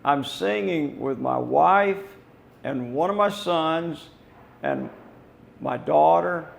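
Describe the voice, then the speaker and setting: An older man with a hoarse voice, explaining building plans in a casual, rambling way with many fillers. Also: fairly high pitch, very animated, takes it slowly. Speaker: company representative.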